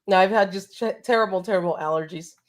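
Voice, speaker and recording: A woman's voice: medium pitch, fast talker. A woman co-hosting a live toy stream, congested from allergies, upbeat and laughing often as she greets chatters. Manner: upbeat, laughs often, reads out chat names. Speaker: co-host. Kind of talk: casual live-stream chat.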